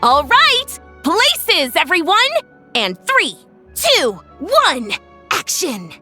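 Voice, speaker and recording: Bossy game film director, bright high voice, spaced-out commands, music under. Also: female voice, huge pitch swings, drawn-out words, full character voice. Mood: businesslike, eager. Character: brisk, commanding young female film crew chief. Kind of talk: video game voice acting.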